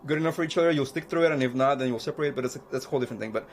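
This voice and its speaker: A man co-hosting a podcast in casual chat, halting and repeating himself as he searches for words. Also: medium pitch. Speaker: podcast co-host.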